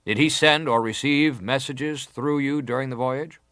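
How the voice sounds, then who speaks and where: An actor playing an American senator who questions a witness formally, measured and insistent, in a dramatized hearing. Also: male voice, mid-range voice, fairly bright tone, no echo, natural voice. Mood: formal, probing.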